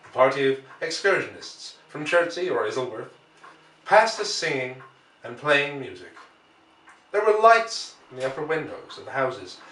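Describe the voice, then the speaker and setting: A man narrating a classic novel as an audiobook in a measured storytelling manner. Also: fairly high pitch, pushed and bright. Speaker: audiobook reader. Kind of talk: scripted literary narration. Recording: echoey room, distant from the mic.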